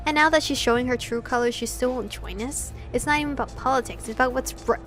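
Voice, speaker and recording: An angry young vampire rebel from a visual novel, read by a woman in a high voice. The delivery is accusing and insistent, full of passion, with music playing behind it. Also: quick pace, fairly bright tone. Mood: impassioned.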